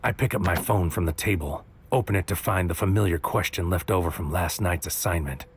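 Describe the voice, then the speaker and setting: Low-voiced, brooding actor as a troubled man. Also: fairly quick, background noise, close to an everyday voice. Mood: brooding, tense. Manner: terse, broody, poetic fragments. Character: anxious, brooding man, troubled agent narrating his own story. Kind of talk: first-person noir audio drama narration.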